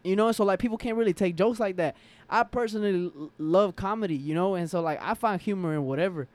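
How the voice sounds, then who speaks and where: Slangy young man, slightly high voice. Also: fast talker, a little mellow. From Atlanta area, Georgia, USA. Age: young adult. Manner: slangy, rambling, heavy use of "like". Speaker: interview guest, vlogger. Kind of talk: casual chat.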